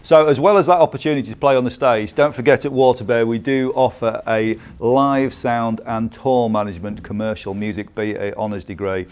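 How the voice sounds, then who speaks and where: A man with a smooth, clear voice presenting a promotional music video series in a conversational way. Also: medium pitch, steady tone, brisk, hardly any pauses, a little mellow.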